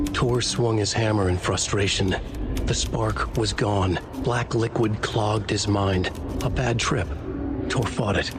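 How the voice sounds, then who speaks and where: An actor playing a brooding, solemn narrator of a horror story in a video game, with measured noir-like delivery. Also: male voice, medium pitch, natural voice. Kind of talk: scripted dramatic narration. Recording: music behind the voice.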